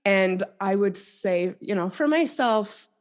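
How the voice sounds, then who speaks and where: A woman's voice: medium pitch, expressive, takes it slowly. A woman speaking as a coach and podcast guest, explaining her approach conversationally and thoughtfully.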